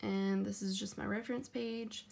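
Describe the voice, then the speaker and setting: A woman's voice: medium pitch, fairly even intonation, moderate pace, darkish tone. A young woman giving a class presentation as a student, hesitant and hedging with many ums. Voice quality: gravelly.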